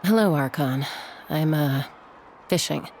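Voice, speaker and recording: Creaky-voiced, subdued young woman. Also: sits low, slow, darkish tone, a little hiss or hum. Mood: withdrawn, wistful. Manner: hesitant, reserved, sincere. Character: subdued, dutiful young woman carrying grief. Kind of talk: scripted video game voice acting.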